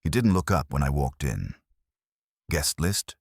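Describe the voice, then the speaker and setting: An extremely deep-voiced man narrates detective fiction with a wry, hard-boiled touch. His pitch moves in a lively way from phrase to phrase. The sound is very dry, as an audiobook reading. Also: fairly quick, gravelly, no echo. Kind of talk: scripted first-person detective story narration.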